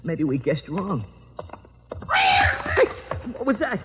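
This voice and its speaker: A man playing an anxious, hot-headed young hoodlum in an old radio crime drama, stammering and slangy, with an American accent and a rough voice. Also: fairly high pitch, very animated, slow pace, dull audio, heavy background noise. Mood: nervous. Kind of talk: 1940s-50s radio crime drama acting.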